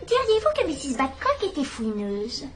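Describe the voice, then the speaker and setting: Elderly woman sleuth, wry and probing, high lively voice; faint hiss behind. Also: normal speed. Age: older. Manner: gentle, wry, quietly probing. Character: shrewd elderly spinster sleuth, gentle and sharp. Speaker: amateur detective. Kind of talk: dubbed radio-style drama acting.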